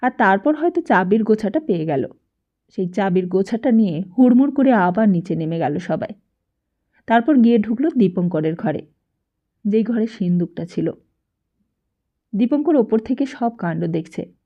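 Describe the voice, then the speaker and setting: A woman reading a literary story aloud as an audiobook narrator, with a dramatic delivery and expressive pauses. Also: medium pitch. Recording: dull, lo-fi sound.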